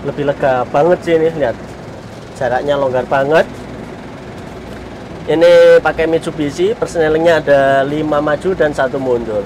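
A man's voice: a little high, normal speed, pauses often. Chatty man touring a bus outdoors. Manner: chatty, addresses viewers as friends. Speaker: vlogger. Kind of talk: casual walkaround vlog. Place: outdoor car show parking lot. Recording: outdoor noise behind voice.

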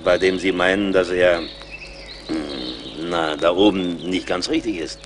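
A seasoned woodsman of the frontier in an adventure radio drama. The voice is deep, the manner brave, commanding and drily humorous. Faint outdoor noise sits behind him. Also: slow. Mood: measured. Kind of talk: German radio play acting.

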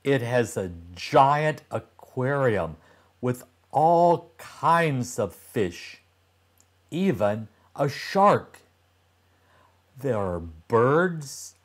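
An older man voicing a patient, reassuring mother in a children's storybook, explaining things enticingly. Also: mid-range voice.

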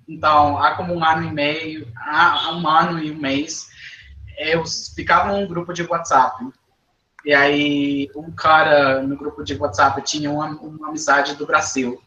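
A man's voice: fairly high pitch, steady tone, measured pace, bright, forceful tone. A young man from Costa Rica, a native Spanish speaker with a Costa Rican accent, answering interview questions in learner Portuguese, hesitant and repeating words, often adding "sabe?". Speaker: guest. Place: small indoor living room. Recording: echoey room.

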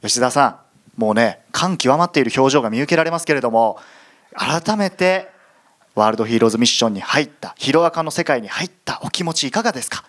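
A man hosting a stage event as emcee, announcing with emphatic, drawn-out phrasing. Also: mid-range voice, lively intonation, a bit bright. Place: cinema stage.

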